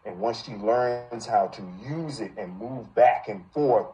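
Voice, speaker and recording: A man's voice: mid-range voice, a little slow, warm tone. A man coaching voice actors in a group session, encouraging and directive, on dull lo-fi call audio. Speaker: voice acting coach.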